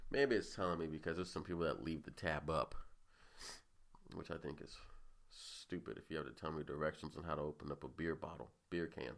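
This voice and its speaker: Deep-voiced congested young man. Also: a little fast, creaky, fairly bright tone. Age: 20s. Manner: loose, rambling and chatty, with frequent asides. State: congested, sniffling. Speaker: podcast host. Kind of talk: casual solo podcast chat.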